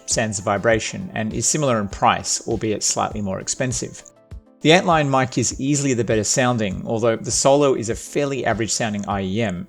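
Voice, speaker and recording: A man with a nasal voice, giving a measured, wry scripted review of audio gear. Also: mid-range voice, gravelly. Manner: measured, wry and matter-of-fact. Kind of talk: scripted product review narration.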